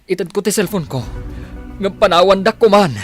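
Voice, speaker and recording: A man's voice: high-pitched, normal speed, soft background music, lightly acted. A man with an Ilocano accent playing an anxious young man in love in a radio drama. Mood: frightened, pleading.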